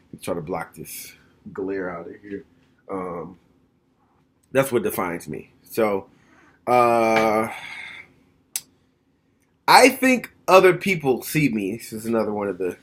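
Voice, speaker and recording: A man in his mid-thirties speaks at an average pitch in a relaxed, reflective monologue recorded at home. His delivery is frank and direct, and he thinks out loud as he talks. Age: 30s. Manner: frank, plainspoken, thoughtful. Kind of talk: casual reflective monologue to camera.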